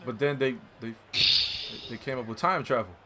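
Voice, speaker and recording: A young man speaks in an average-pitched voice, casual and full of slang. He is blunt and talks as if to a buddy. A crowd is clearly heard around him. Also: moderate pace. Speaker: co-host of a movie review chat. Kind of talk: casual movie chat.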